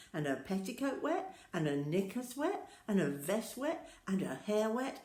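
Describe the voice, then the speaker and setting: An older woman tells a children's story in a fairly low voice. Her pitch moves up and down in a very lively way as she addresses little listeners directly. The room adds a noticeable echo. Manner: addresses young listeners directly, deliberate and expressive. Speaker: storyteller reading aloud to children. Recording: roomy, echoing home-style recording.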